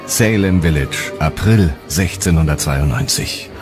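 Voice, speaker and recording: A man's voice: rumbling low, measured pace, over music. A man reading scripted narration for a documentary.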